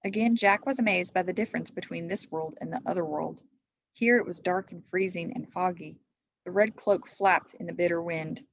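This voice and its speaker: A woman's voice: on the low side, normal speed, no room sound. A woman reading a children's storybook aloud as a teacher would, in a slightly muffled recording. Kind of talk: storybook read-aloud narration.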